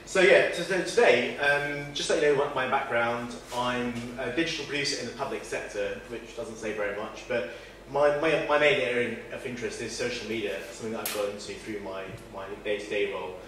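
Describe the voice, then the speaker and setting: A man's voice: mid-range voice, brisk, few pauses, a bit bright, recorded from a distance. A man giving an informal talk to a meetup audience, chatty and self-deprecating, with many fillers and restarts. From not from northern England. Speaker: presenter at a meetup. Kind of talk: informal presentation. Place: event room with audience. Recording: very echoey room, faint noise.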